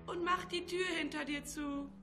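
A woman's voice: sits high, steady tone, moderate pace, loud music behind the voice. A female performer playing a fussing, hard-of-hearing old grandmother in a children's radio play. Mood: fussing, questioning. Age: older.

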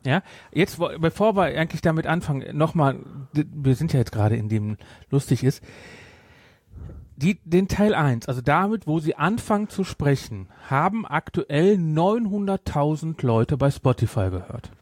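A man's voice: a little high, expressive, normal speed, darkish tone, dry recording, clean studio sound. A man co-hosting a podcast in casual chat, often breaking off and correcting himself mid-sentence. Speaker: podcast co-host. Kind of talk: casual chat about audio dramas.

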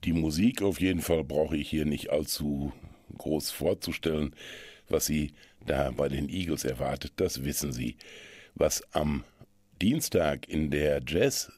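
A man's voice: very deep voice, measured pace, a little mellow, breathy edge, no room sound, studio quality. A man hosting a radio magazine on music and local events, talking in a chatty, explanatory way. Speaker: radio host.

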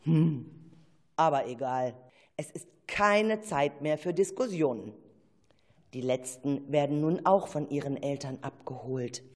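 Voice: mid-range voice, measured pace, darkish tone, long reverb tail. A narrator telling a children's radio play with a dramatic storytelling delivery.

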